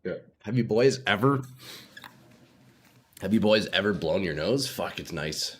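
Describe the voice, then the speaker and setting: A man's voice: sits low, lively intonation, a little slow. A young man streaming a game live with a stuffy nose, chatting with viewers in a laid-back, slangy way at a desk microphone.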